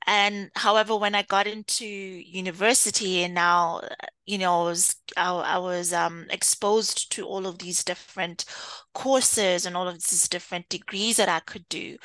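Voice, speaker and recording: A woman from Kenya being interviewed, speaking thoughtfully with frequent pauses and easy laughs. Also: mid-range voice, pushed and bright, dry recording, rough recording. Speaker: interview guest, data executive. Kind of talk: conversational interview.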